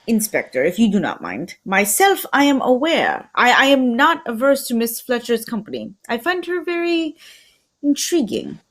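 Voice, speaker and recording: A woman playing a shrewd, polite Belgian male detective with a put-on Belgian French accent, formal and deliberate, in an old-time radio mystery style. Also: on the high side, very animated, fairly bright tone. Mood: measured.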